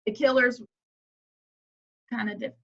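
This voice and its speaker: Bright, high-voiced woman, blunt and sweary. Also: steady tone, takes it slowly, muffled recording. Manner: blunt, foul-mouthed, incredulous. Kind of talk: casual group chat.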